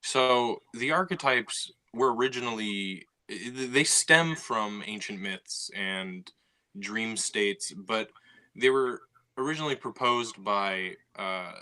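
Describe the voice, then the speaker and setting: Low, bright-voiced man explaining calmly. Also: clean tone. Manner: measured, explanatory, filler "you know". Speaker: podcast co-host, writer. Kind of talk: casual chat.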